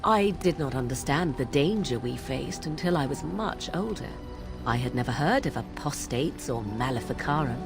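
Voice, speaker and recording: A woman's voice: extremely low pitch, lively intonation, normal speed, vocal fry, full character voice. An actress playing a sly young witch who teases in a wry, archly formal way, with game music behind her. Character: sly young female witch, mocking and aloof.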